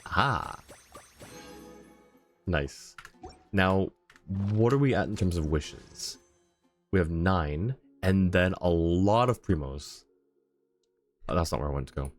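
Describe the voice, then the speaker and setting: Low-voiced man chatting on a stream. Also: lively intonation, slow, long pauses, soft background music. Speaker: game livestreamer. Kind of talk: casual livestream chat.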